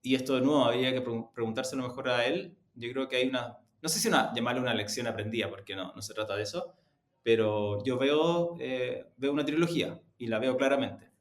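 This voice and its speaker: Male interview guest, Chilean accent, medium pitch. Thoughtful, self-qualifying conversational delivery in a roomy, echoey recording. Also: brisk. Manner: reflective, often rephrasing and qualifying himself. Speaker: interview guest, podcast production executive.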